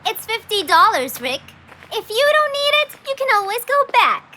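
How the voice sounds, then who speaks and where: Cartoon kid: excitable, bossy young boy, extremely high and animated, music underneath. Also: moderate pace, a little hiss or hum, full character voice. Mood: confident, bossy. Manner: emphatic, pleading, exclamatory. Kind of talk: children's cartoon voice acting. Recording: music bed behind voice.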